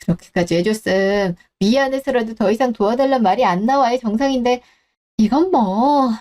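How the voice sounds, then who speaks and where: Woman as scolding middle-aged daughter, high-pitched voice, blunt, nagging, exasperated, radio drama. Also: dark tone, natural voice. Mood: indignant. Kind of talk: Korean radio-drama story acting.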